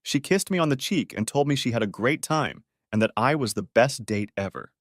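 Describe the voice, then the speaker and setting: A man's voice: medium pitch, animated, a little fast, dry recording, studio quality. A man narrating a first-person story from a script.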